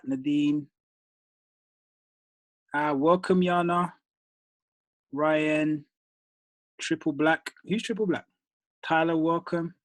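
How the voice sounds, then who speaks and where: A man's voice: a little high, no room sound. A man hosting a group call, reading names and firing up his team in a casual, motivational way. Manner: casual, calls people bro and dude.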